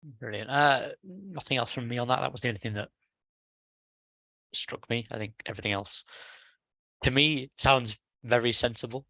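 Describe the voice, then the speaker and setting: A man's voice: medium pitch, normal speed, pauses often, bright, forceful tone, no room sound, rough recording. A man chairing a work meeting in an informal, conversational style. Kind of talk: casual meeting facilitation. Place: online video call.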